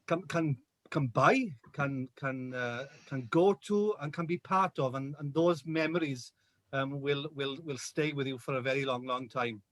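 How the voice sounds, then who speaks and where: A man's voice: medium pitch, measured pace, a bit bright, dry recording. A Welsh man as a radio guest, chatting casually and often repeating words as he searches for them. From Wales. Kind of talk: casual chat on a radio show. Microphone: video call.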